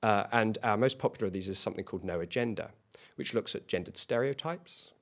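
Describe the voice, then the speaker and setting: A man co-founding a small company, giving a competition pitch in an earnest way and often restarting and repeating words. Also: quick pace, fairly bright tone. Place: event hall.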